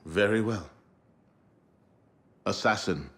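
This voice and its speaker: A man's voice: on the low side, takes it slowly, a bit bright. A man playing a stern, dignified leader of a secret assassin order in a game, formal and authoritative. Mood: solemn. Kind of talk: dramatic video game dialogue.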